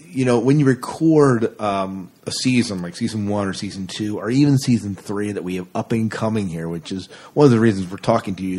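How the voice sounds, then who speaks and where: A man's voice: on the low side, darkish tone, breathy edge. A man co-hosting a podcast, asking questions in a casual, rambling way. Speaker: podcast co-host. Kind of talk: casual interview chat.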